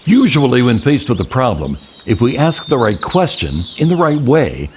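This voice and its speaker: An actor playing an older male mentor and army general in an audio drama, speaking in a measured, patient, enigmatic way. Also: medium pitch, huge pitch swings, noisy background. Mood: patient, didactic.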